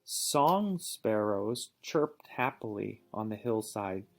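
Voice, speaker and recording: A man reading a storybook aloud and pausing to ask questions in a patient, encouraging manner. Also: fairly low pitch, expressive. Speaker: reading teacher or parent reading aloud.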